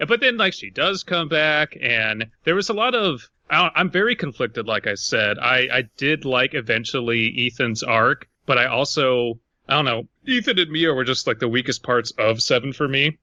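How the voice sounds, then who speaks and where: Bright, forceful man in casual podcast discussion. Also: medium pitch, animated, normal speed, few pauses, no room sound, muffled recording. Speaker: podcast co-host.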